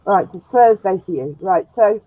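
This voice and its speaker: A woman's voice: medium pitch, lively intonation, normal speed, no echo. A woman hosting a sci-fi live stream, chatting casually and laughing often, in a room with a glass roof. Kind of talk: casual chat. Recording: dull lo-fi dry sound.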